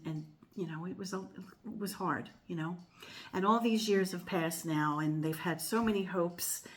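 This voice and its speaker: An older American woman with a slightly low voice chats to her viewers. She rambles, pauses on fillers and corrects herself mid-sentence as she hosts her tarot channel. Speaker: tarot reader and channel host. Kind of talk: casual chatty monologue to viewers.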